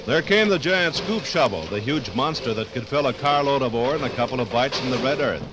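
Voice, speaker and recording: Man, vintage documentary narration, medium pitch, measured dramatic delivery, scripted, formal. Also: expressive, noisy background. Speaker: radio narrator.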